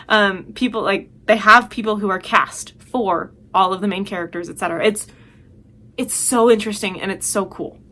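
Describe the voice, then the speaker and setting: A woman's voice: mid-range voice, normal speed, sharp tone. A woman talking casually as a video host, giving chatty, emphatic and enthusiastic recommendations.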